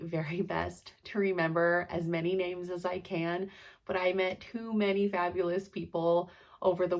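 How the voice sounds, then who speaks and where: A stuffed-up woman in casual, rambling chat about her stitching projects, chatty and apologetic. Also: fairly low pitch. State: congested, stuffed up. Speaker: cross-stitch vlogger.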